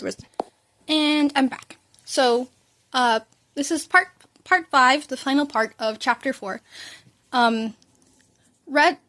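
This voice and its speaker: Female amateur story reader, high voice, casual and slightly stumbling read. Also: fairly bright tone. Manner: informal, stumbles over words. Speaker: amateur podfic narrator. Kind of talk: casual fan-fiction read-aloud.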